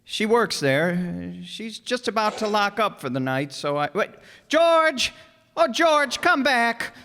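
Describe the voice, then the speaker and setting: An actor in a radio play as a gentle, flustered apprentice angel, earnest and hesitant. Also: male voice, high-pitched, very animated, slow, slight background noise, full character voice. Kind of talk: live radio play acting. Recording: stage hall with long reverb.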